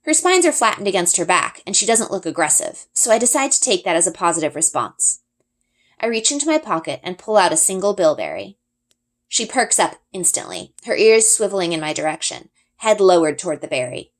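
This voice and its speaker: Expressive woman reading a story aloud. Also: lowish voice, a little fast. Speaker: reader.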